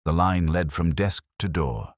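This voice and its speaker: A man's voice: deep voice, a little slow, no echo, studio quality. A man reading a scripted literary mystery as its narrator.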